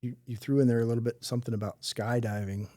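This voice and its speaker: A man's voice: mid-range voice, steady tone, quick pace, mellow, no echo, studio quality. A middle-aged man hosting a podcast interview as a fire chief, asking questions in a conversational, upbeat way.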